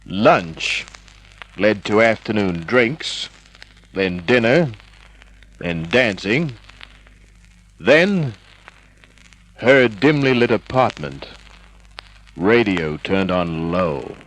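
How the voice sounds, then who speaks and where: An actor playing a wry, flirtatious American private detective with a world-weary manner, in the style of 1950s radio noir. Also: male voice, fairly low pitch, lively intonation, very slow, pauses often, a bit bright, full character voice, low-quality recording. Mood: wry narration. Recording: old radio drama recording with music.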